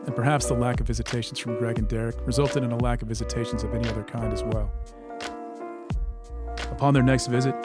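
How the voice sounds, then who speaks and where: A man reading scripted dramatic narration for an audio story. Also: medium pitch. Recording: music under the voice. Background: prominent music.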